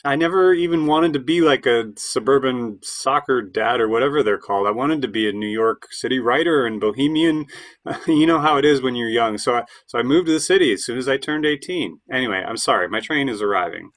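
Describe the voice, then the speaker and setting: A man's voice: mid-range voice, brisk, a bit bright, lightly acted. A man playing a hesitant, stammering, defensive would-be bohemian in his late thirties in an audio play. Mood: defensive, harried.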